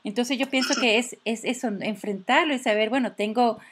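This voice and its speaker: A woman's voice: a little high, normal speed, a bit bright, breathy edge. A woman host, earnestly sharing her own experiences and asking the guest questions. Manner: earnest, personal and anecdotal. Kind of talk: interview talk show.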